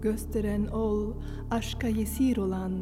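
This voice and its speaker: A woman reciting verse solemnly, with a drawn-out, incantatory delivery. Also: medium pitch, fairly even intonation. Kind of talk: solemn Turkish poetry recitation. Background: music.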